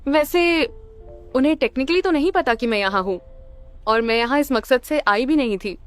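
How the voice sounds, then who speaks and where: Highish, wry young woman reporter. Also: lively intonation, moderate pace, clear, resonant tone, faint music behind. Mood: teasing, casual. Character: sharp, teasing young woman reporter, guarded but fond. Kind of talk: Hindi-dubbed video game dialogue.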